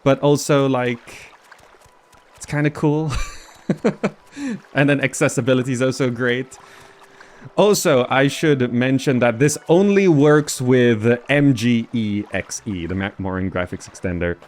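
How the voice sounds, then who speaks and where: A man's voice: medium pitch, measured pace, a little mellow. A man hosting a gaming video, giving casual commentary and thinking aloud as he goes. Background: faint game music.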